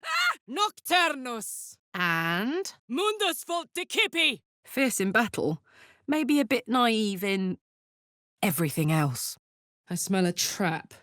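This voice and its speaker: A woman voicing a brash, warm-hearted fantasy warrior in a video game, blunt, informal and expressive. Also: fairly high pitch, takes it slowly, no room sound, clean studio sound, exaggerated voice. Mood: urgent, loud, then gruff, wry. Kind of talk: video game character voice acting.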